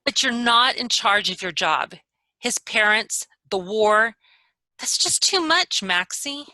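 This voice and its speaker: A woman playing a concerned, chatty young 1940s best friend, expressive and full of advice, in a play reading over a video call. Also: fairly high pitch, slow, no echo, exaggerated voice, poor audio. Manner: expressive, advising.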